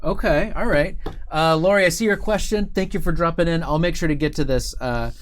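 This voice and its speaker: A man's voice: on the high side, brisk, a little hiss or hum. A man hosting a live stream in a casual, conversational way, thinking aloud as he goes. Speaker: host of a company live stream.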